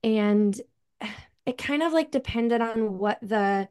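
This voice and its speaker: A woman's voice: a little high, slow, darkish tone, clean tone. A woman from upstate New York with that region's accent, telling her life story as a podcast guest in a chatty way full of "like" and "um". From upstate New York, USA. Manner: chatty, many fillers like "like" and "um". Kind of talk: casual interview chat.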